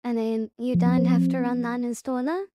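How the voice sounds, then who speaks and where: A woman narrating a casual software tutorial, instructing step by step and repeating her reminders. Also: fairly high pitch, flat delivery, moderate pace, no room sound, dull audio. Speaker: tutorial presenter.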